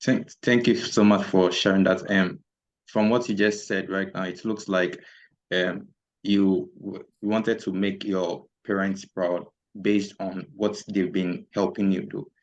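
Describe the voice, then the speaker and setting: A man's voice: sits low, flat delivery, a little slow, highs cut off. A man hosting a podcast interview, speaking hesitantly with many fillers and murmured affirmations. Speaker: podcast host.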